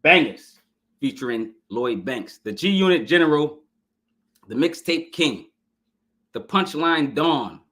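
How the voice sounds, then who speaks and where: A man's voice: a little high, lively intonation, slow pace, pauses often, a bit bright. A man hosting a podcast album review in a casual, hyped, slangy way, speaking straight to his viewers, his voice scratchy this morning. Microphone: live stream podcast mic.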